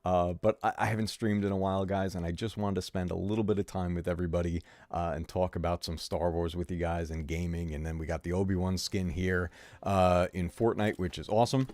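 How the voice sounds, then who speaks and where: A man's voice: deep voice, steady tone, a little fast, a little mellow, dry recording. A male gaming streamer chatting casually to his viewers, full of ums and uhs, with a sore throat and a fading voice. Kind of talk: casual live-stream chat.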